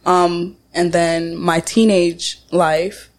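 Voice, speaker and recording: Low-voiced woman in casual chat. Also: level tone, very slow. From Jamaica. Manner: laughs often, swears casually. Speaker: podcast host.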